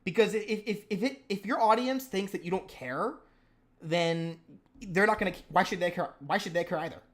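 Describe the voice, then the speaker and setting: A man's voice: high-pitched, moderate pace, fairly bright tone. A man hosting a casual live stream, talking with a stutter, repeating words and restarting his sentences. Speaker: stream host and moveset creator.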